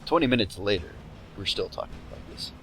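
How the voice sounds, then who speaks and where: A man trading dry, teasing jokes during a casual gaming session. His voice sits a bit low, and a faint hiss runs under it. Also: lively intonation, quick pace, fairly bright tone, rough recording. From United States. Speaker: let's play co-commentator.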